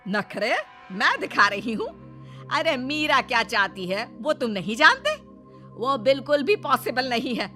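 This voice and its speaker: Woman acting a shrill, exasperated mother-in-law. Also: sing-song, bright, forceful tone, faint music behind, heavily acted. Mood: indignant. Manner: wailing, indignant, drawn-out exclamations. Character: exasperated, dramatic middle-aged Indian mother-in-law, forever protesting. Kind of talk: melodramatic comic Hindi story dialogue.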